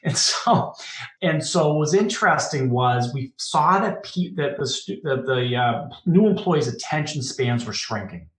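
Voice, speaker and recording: A middle-aged man with a clear Boston accent tells stories animatedly, often breaking into a chuckle. His voice sits at an ordinary pitch. It carries a noticeable room echo. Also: a little slow. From Boston, USA. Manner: animated, chuckles through anecdotes. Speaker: podcast guest. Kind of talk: casual interview storytelling. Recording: roomy echo.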